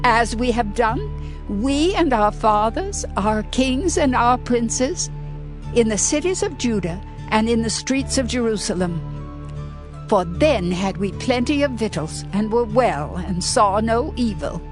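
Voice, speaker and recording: An actress as a proud, higher-voiced ancient woman. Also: animated, measured pace, music in the background. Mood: proud, defiant. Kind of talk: dramatized audio Bible reading.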